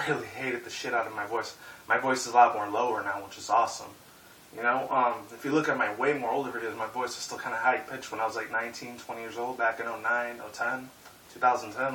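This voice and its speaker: A man's voice: mid-range voice, pushed and bright. Rambling young man in a roomy room. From Puerto Rican and Mexican heritage. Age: 20s. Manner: rambling, profane, filler-heavy. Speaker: YouTuber. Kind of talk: casual vlog chat. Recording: roomy echo, mic at a distance, faint hiss.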